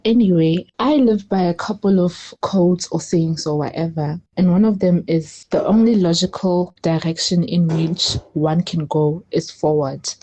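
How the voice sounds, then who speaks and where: A woman's voice: fairly low pitch, a little slow, few pauses, dark tone, poor audio. A young South African woman, a student, sending a confident, playful voice note to a podcast. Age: young adult. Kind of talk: recorded voice note.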